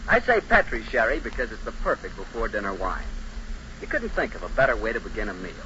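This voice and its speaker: A man's voice: mid-range voice, pushed and bright. An American man announcing and hosting an old-time radio show, with a folksy, chatty salesman's delivery. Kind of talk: 1940s radio sponsor pitch and scripted banter. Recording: dull, noisy 1940s radio recording.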